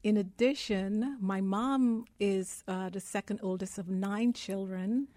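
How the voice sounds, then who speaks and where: A woman's voice: mid-range voice, steady tone, slow pace, warm tone, no echo, clean studio sound. A woman from Guyana, a guest in an interview, telling her life story in an earnest, heartfelt way.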